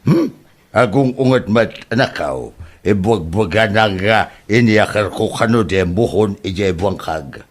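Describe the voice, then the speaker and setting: A man's voice: lowish voice, a little slow. A man acting an aggrieved older village father with an Ilocano accent in a comedy drama, protesting hesitantly. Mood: weary explaining. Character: aggrieved older village father accused over a land boundary.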